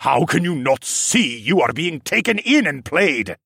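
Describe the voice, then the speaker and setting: A towering reptile-man regent, half-blind and consumed by rage, as a male storyteller puts him on. He drags out every threat slowly and bellows it, his delivery swinging widely, recorded close and dry in a storybook telling. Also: on the high side, big pitch swings, creaky, sharp tone, breathy edge, dry recording, voice pushed up, heavily acted. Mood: raging. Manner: bellowing, menacing, slow and deliberate.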